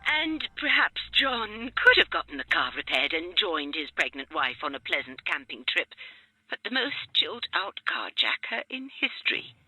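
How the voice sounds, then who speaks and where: Bright, husky theatrical radio narrator man. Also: fairly high pitch, very animated, normal speed, no echo, full character voice, rough recording. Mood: arch, teasing. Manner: arch, knowing, dramatic announcer delivery. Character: wry theatrical male radio serial narrator. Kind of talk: old-time radio drama narration.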